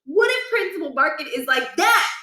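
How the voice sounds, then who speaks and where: An eager schoolboy played by a woman reading a storybook with a high, swooping voice. He gushes and argues in slangy bursts with a whiny edge. The room is echoey. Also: big pitch swings, normal speed, pushed and bright, full character voice. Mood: excited. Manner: gushing, slangy, whiny complaining. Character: excitable, argumentative schoolboy, dim but eager. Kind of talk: children's storybook character voice. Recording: roomy, echoing room.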